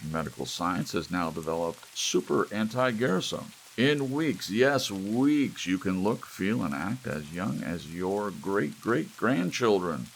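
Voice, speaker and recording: A man's voice: on the low side, vocal fry, voice pushed up, poor audio. A man voicing an upbeat television announcer selling a product with emphatic, stretched-out sales patter, in an audiobook reading. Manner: emphatic sales patter with drawn-out stressed words. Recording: steady rain and hiss behind.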